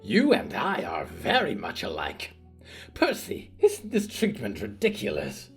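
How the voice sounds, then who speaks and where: A talking mushroom creature, performed by a man in a surreal audio story. It speaks with dry, deliberate indignation at a middling pitch, and faint music sits behind it. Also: wildly swooping pitch, husky, full character voice. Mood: affronted. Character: indignant talking mushroom person playing cards.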